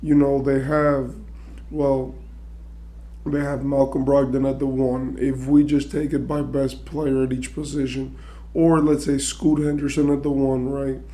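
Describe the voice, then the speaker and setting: Hoarse young man losing his voice. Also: medium pitch, flat delivery, a little slow, warm tone. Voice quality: strained, hoarse-sounding voice with throat clearing. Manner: chatty, thinking aloud, many "right?" and "you know". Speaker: sports commentary YouTuber. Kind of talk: casual basketball trade commentary.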